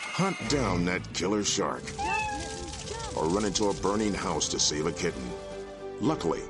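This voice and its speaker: Lively low male ad announcer. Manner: dramatic, lively delivery. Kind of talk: scripted public service ad. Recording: voice-over with music bed.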